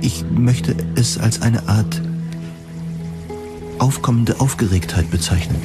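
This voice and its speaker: A man playing a lonely, hypersensitive survivor, narrating a dystopian radio drama in measured, solemn, formal words. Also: medium pitch, over loud music. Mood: uneasy wonder. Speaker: narrator and protagonist. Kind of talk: introspective first-person radio drama narration.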